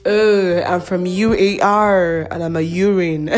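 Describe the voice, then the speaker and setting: A woman's voice: lowish voice, steady tone, slow pace, faint music behind. A young Nigerian woman with a Nigerian accent, hosting a casual podcast and chatting through the news with frequent laughs and mocking asides. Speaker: podcast host.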